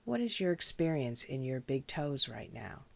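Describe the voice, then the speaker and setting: A woman leading a guided meditation as a teacher, speaking in a gentle, measured, instructional way. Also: extremely low pitch, very animated, vocal fry. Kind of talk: guided body scan meditation.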